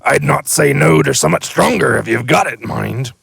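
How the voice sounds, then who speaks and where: A man voicing a huge, gruff but kindly giant with rough country speech in a storybook reading. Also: animated, normal speed, a bit bright, no room sound, clean studio sound, full character voice. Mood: hearty. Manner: booming, broad rustic dialect.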